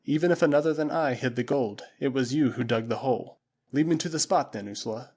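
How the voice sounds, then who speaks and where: A man voicing a calm noble jungle lord. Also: medium pitch, husky, natural voice. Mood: assured. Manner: calm, measured, commanding.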